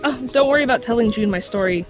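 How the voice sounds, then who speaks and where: A woman's voice: fairly high pitch, fast talker, over music, lightly acted. A woman speaking scripted lines as a voice actor in an audio drama.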